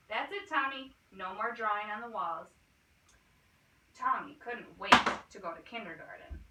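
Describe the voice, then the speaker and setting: A woman reading a picture book aloud to children like a teacher, expressively, with small asides and questions to her listeners. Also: mid-range voice. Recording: roomy, distant mic, clear noise and clicks.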